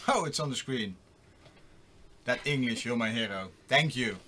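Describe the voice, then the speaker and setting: A man's voice: mid-range voice, animated, moderate pace, bright, forceful tone, a little hiss or hum. A middle-aged man with a Dutch accent, chatting casually and rambling with many fillers while building a computer. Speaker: host.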